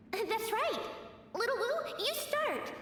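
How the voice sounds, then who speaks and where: Echoing, very high eager little girl. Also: sing-song, takes it slowly, over music, exaggerated voice. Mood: encouraging. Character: small girl, eager, bossy, dreamy craft-lover. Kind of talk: video game voice acting. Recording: echoing flashback reverb, distant.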